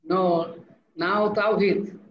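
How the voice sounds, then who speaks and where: A man's voice: high voice, fairly even intonation, drawn-out words. Male teacher-moderator, Bangladeshi accent, on a muffled call with some background noise. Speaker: teacher moderating an online class discussion. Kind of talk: moderating and introducing speakers. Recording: echoey, muffled, noisy call.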